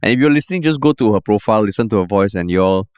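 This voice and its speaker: A man's voice: lowish voice, rapid-fire, clear, resonant tone, no echo, clean studio sound. A man with a Singaporean accent co-hosting a podcast in casual, chatty talk, often ending lines with "lah". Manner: chatty, animated, sprinkles lah. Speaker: podcast co-host. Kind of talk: casual chat.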